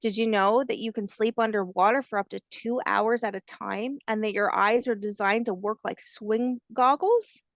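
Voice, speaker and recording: A woman's voice: on the high side, steady tone, normal speed, few pauses, no room sound, lightly acted. Woman reading as kindly old grandmother alligator, close and dry. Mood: proud, instructive. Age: older. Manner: gentle, patient storybook telling.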